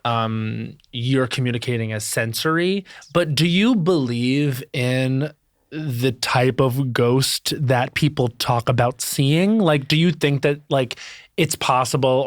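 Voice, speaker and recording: A man's voice: mid-range voice, no echo, studio quality. A man co-hosting a podcast in casual chat, rambling and restarting as he asks his questions. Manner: rambling, many restarts and fillers. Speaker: podcast co-host.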